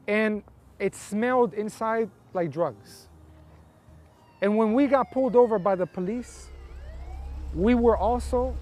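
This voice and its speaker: A man's voice: high-pitched, darkish tone. A middle-aged man from Colombia who grew up in Texas, giving a personal testimony as an interview guest. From Colombia, raised in Houston, Texas from age eight. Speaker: interview guest telling his life story.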